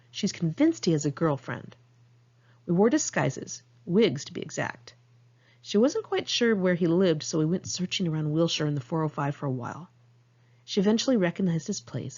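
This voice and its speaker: Low-voiced American woman reading, slightly dull sound. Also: darkish tone, breathy edge. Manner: conversational, candid, informal. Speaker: author reading own memoir. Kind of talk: audiobook narration of diary entries.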